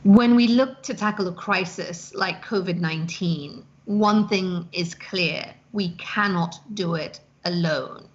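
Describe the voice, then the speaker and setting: A woman reading a formal scripted statement in a deliberate way. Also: on the low side. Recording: dull lo-fi sound.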